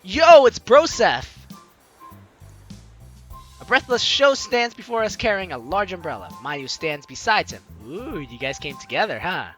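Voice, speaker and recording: A woman's voice: lowish voice, very animated, unhurried. A woman hosting a let's play, hammily reading game narration and lines aloud with playful asides. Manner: playful, hammy read-aloud with ad-libbed asides. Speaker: gaming host. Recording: background game music behind voice.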